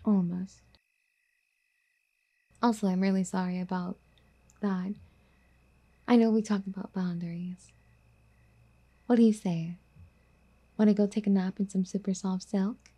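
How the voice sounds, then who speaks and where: A woman playing a possessive, doting yandere girlfriend in a soft, intimate ASMR roleplay, speaking in a coaxing, whispery, sweetly affectionate way. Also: medium pitch, steady tone, measured pace, long pauses, natural voice. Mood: apologetic, soft.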